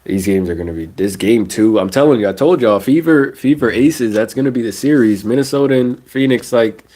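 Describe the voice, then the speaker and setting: A man's voice: mid-range voice, a little fast. A young man with a stuffy, nasal voice from a cold, ranting casually about basketball on a live stream. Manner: animated ranting, casual slang. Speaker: sports streamer.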